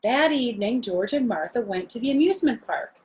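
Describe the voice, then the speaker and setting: A woman's voice: a little high, animated, brisk, a little mellow. A woman storyteller reading aloud to young children, adding casual explanations and questions as asides.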